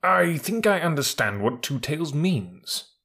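A man voicing a high-strung, sensitive male army horse in a storybook, earnest and skittish. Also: big pitch swings, slow, creaky, bright, forceful tone, husky, exaggerated voice. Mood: thoughtful.

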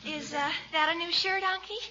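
A woman's voice: extremely high pitch, steady tone, normal speed, bright, forceful tone, faint music behind. A woman playing a sweet, prim young American niece in a 1940s radio comedy. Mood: sweet, coaxing. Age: young adult. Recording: muffled old radio recording.

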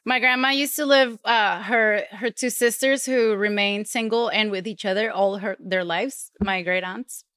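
A woman chatting casually, halting often as she searches for words. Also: medium pitch, steady tone, fairly bright tone, dry recording, clean studio sound. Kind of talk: casual chat.

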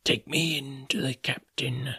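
A man voicing a sinister blind old beggar in an audiobook, with a soft, cold, sing-song whine. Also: medium pitch, takes it slowly, creaky, slightly rough, no room sound, pitched above the performer's own voice, heavily acted. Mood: menacing. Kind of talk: audiobook character voice.

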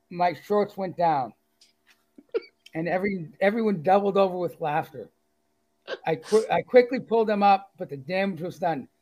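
Man, Boston accent, high voice, good-humored, self-deprecating. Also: darkish tone, low-quality recording. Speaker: author guest. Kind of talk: reading aloud and casual interview chat.

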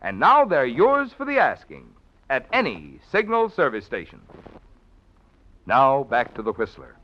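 A man's voice: medium pitch, wildly swooping pitch, measured pace, muffled recording. A man announcing on 1940s radio, reading sponsor messages and credits in a polished, formal style. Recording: old band-limited radio broadcast, music behind.

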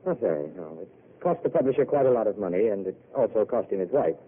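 A weary, wry wandering intellectual. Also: male voice, lowish voice, animated, fast, slightly rough. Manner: wry, eloquent, self-mocking. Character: weary, self-mocking wandering intellectual man. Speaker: wandering failed writer. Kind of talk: 1930s radio drama acting. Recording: tinny old radio broadcast.

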